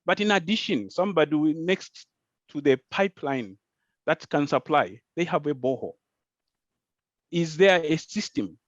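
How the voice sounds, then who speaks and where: A man's voice: on the high side, expressive, normal speed, no room sound. Zambian guy explaining stuff on a panel, asks rhetorical questions, kinda lo-fi dry audio. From rural Zambia. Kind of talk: panel discussion answer.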